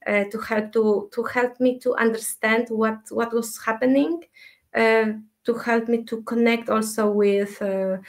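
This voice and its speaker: A woman in her thirties from Ecuador, who shares her story as an interview guest in a soft, reflective way, pausing often with hesitations. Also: fairly high pitch. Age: 30s. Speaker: guest, dream mentor.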